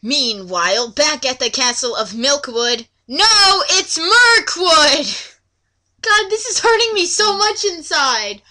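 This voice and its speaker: A woman's voice: high-pitched, sing-song, takes it slowly, bright, forceful tone. A woman reading a story aloud mockingly, with theatrical delivery and exasperated asides. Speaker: reader mocking an amateur story.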